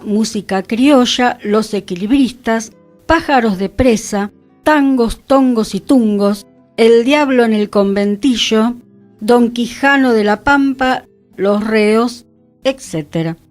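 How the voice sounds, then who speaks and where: A woman's voice: a little high, takes it slowly. A woman with an Argentine accent hosting a cultural radio talk, explaining things in a reflective, conversational way. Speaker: radio host. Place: radio studio. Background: music.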